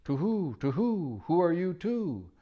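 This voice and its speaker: Fussy sleepy owl with a soft hoot, way up high, guy doing it. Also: very animated, measured pace, dull audio, exaggerated voice. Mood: fretful. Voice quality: soft hooting.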